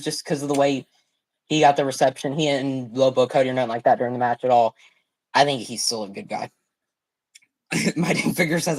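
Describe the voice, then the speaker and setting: Young man streaming, hoarse and excited. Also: medium pitch, poor audio. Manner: excitable, fast, slangy, exclaims often. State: sore throat, voice cracking. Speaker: watch party streamer. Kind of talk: excited live stream chat. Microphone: phone.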